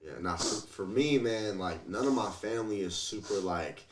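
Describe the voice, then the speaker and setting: A man's voice: fairly low pitch, lively intonation, moderate pace. A man talking casually as an interview guest, telling stories in a slangy, sweary way and acting out what people said. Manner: slangy, swears, acts out quotes, frequent "like" and "you feel me". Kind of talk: casual, animated storytelling chat.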